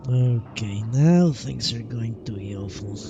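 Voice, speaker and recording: A man's voice: mid-range voice, measured pace, gravelly, highs cut off. A man giving casual live gameplay commentary, thinking aloud with a gloomy, pessimistic outlook and some swearing. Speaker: game streamer. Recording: loud game music behind voice. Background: loud video game music and sound.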